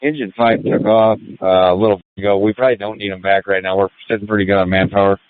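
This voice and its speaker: A man's voice: fairly low pitch, steady tone, quick pace, no room sound. A man working as an emergency medical responder, giving clipped, procedural status reports over a two-way radio. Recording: tinny narrow-band radio channel.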